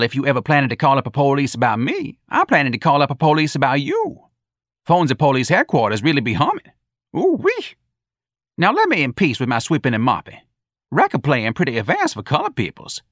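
A man's voice: on the high side, wildly swooping pitch, a little fast, a bit bright, dry recording, clean studio sound, pitched above the performer's own voice, exaggerated voice. A man voicing a streetwise, defiant and fed-up male bar janitor for an audiobook, with slangy speech and whooping exclamations. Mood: triumphant defiance. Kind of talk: audiobook character voice.

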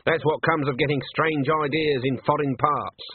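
A man's voice: on the high side, steady tone, normal speed, husky, no room sound, narrow, tinny audio, voice pushed up. A man voicing a stern, sceptical elderly country witch with a dry, sarcastic, disapproving manner, for an audiobook.

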